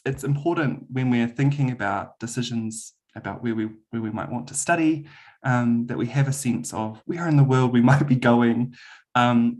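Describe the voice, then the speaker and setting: A man with a New Zealand accent giving a friendly university webinar presentation over a video call, with frequent "um" fillers. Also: medium pitch. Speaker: university lecturer.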